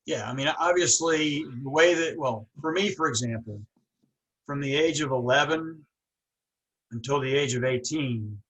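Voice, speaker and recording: Male podcast host who stutters, reflective and conversational, mid pitch. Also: normal speed, a bit bright, rough recording. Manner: reflective, ends with "right?". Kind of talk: casual conversation.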